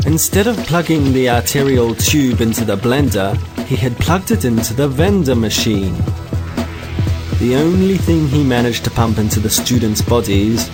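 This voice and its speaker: Man as wry storyteller, medium pitch, deadpan, scripted sci-fi comedy narration, music behind. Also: close to an everyday voice. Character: wry bar-owner storyteller recounting a space tale. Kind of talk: scripted comic science fiction narration.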